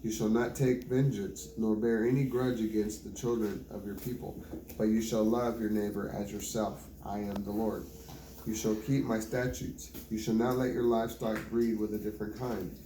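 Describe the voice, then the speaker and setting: A man reading scripture aloud in a plain, measured way, with occasional small stumbles. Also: on the low side, flat delivery, dark tone. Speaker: Bible reader. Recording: roomy home recording with faint background noise.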